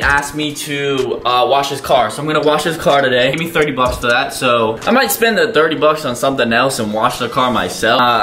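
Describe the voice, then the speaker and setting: A man's voice: mid-range voice, pushed and bright, a little hiss or hum. A man in his twenties with an American accent, chatting casually to viewers in slangy surfer-bro talk as he vlogs. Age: 20s. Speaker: vlogger. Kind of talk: casual vlog chat to viewers. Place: rooms at home, later outdoors by a garage. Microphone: handheld camera at arm's length.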